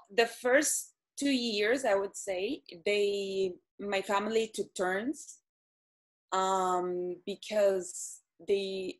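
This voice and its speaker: A woman, answering interview questions hesitantly with many pauses and ums. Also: mid-range voice. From Venezuela. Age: 30s. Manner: hesitant, frequent ums and restarts. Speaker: guest.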